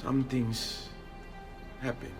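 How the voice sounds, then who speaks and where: A man's voice: medium pitch, flat delivery, slow pace, soft background music, close to an everyday voice. An actor playing an earnest, protective young Italian nobleman hero in a video game drama. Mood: grave, gentle.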